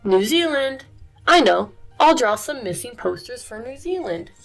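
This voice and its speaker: Little cartoon puppy girl, high and eager, read by a woman. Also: very animated, measured pace, gravelly, fairly bright tone, soft background music, exaggerated voice, low-quality recording. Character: small excitable puppy girl, eager helper.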